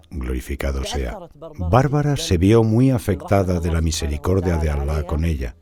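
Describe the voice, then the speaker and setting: A man narrating a documentary program in a solemn, reverent scripted manner. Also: low voice. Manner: solemn and reverent, with devotional phrases.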